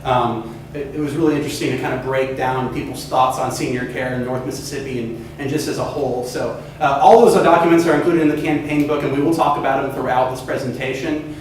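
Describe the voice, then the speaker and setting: Young man pitching a campaign to clients, loose and upbeat with lots of hedges, mid-range voice, picked up from a distance. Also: steady tone, quick pace, a little hiss or hum. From California. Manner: casual, upbeat, many hedging fillers. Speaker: student presenter. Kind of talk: class presentation pitch. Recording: echoey room from far off.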